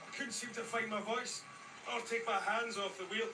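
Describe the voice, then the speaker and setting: Male actor as downtrodden superstitious sailor, high voice, deferential, clipped, echoey noisy recording. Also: steady tone, moderate pace, close to an everyday voice. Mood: cowed, defensive. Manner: deferential, uneasy, clipped phrases. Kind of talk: audio horror drama acting. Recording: distant, echoey, noisy replay.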